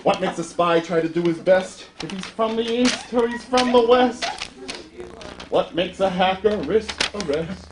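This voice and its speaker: A man's voice: high-pitched, measured pace, echoey room, noisy background. A man delivering a comic musical party skit in a theatrical, declaiming way. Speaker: amateur party performer. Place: private home at a party. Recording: home video with loud musical accompaniment.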